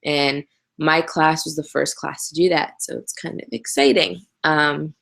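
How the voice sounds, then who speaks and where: A woman's voice: low-pitched, unhurried, gravelly, fairly bright tone. A young woman from Canada, hosting a casual live Q&A, chatty and candid with frequent ums, speaking into a webcam. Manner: chatty, candid, laughs easily, many ums. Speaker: host answering viewer questions. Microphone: webcam on a live video hangout.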